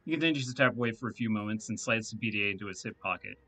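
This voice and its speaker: A man reading a video game's text aloud in an expressive way, adding wry asides. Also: fairly low pitch, racing, soft background music. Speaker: let's play narrator.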